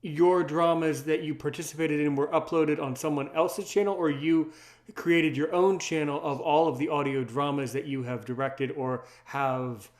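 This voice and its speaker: A man's voice: a little high, steady tone, normal speed. A man hosting a casual interview, effusive and playful, often repeating his words and phrases. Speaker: interview host.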